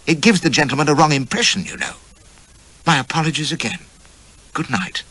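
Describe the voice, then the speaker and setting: A man's voice: on the high side, very animated, a little fast, bright, forceful tone, slightly rough, pitched above the performer's own voice, exaggerated voice. A man voicing a brash, caddish young army officer who blusters and swaggers. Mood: stiffly apologetic. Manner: blustering, cocky. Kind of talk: audiobook character voice.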